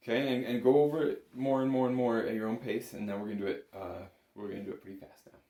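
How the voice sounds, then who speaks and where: A man's voice: medium pitch, steady tone, fast talker, mellow, a little hiss or hum. A man giving a casual spoken music lesson as an instructor, in an easygoing, reassuring manner. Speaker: bagpipe instructor. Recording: echoey room.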